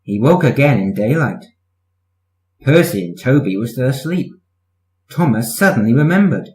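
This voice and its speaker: A man's voice: medium pitch, animated, moderate pace, a little mellow, echoey room, rough recording. A man reading a children's story as scripted narration, in the manner of a storyteller. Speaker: story reader.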